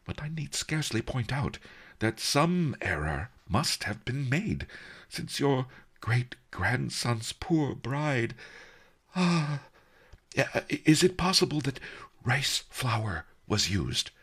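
Male narrator playing a fawning gluttonous merchant, raised pitch, breathless, husky, anxious, deferential, storybook. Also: huge pitch swings, a little slow, full character voice. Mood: anxious, contrite.

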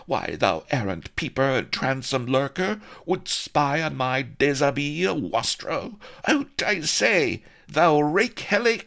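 Man voicing prim, indignant storybook maiden, high and lively. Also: slow pace, creaky, few pauses, full character voice. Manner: indignant, archaic phrasing. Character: flouncy young woman in archaic speech.

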